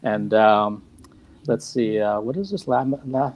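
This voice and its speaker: A man's voice: lowish voice, animated, unhurried, a little mellow, muffled recording. A man in his fifties chatting casually on a video call, rambling and self-deprecating and laughing often. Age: 50s. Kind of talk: casual chat.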